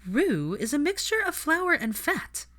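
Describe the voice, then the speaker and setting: Female narrator as young witch, stubborn, principled, brisk, questioning, pointed delivery, audiobook fiction. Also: sits high, very animated, a bit bright, voice pushed up, full character voice. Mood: indignant.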